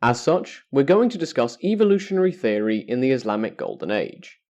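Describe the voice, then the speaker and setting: A man's voice: mid-range voice, sing-song, a little fast, a little mellow, dull audio. A man hosting an educational podcast, reading scripted narration in a formal, carefully structured way.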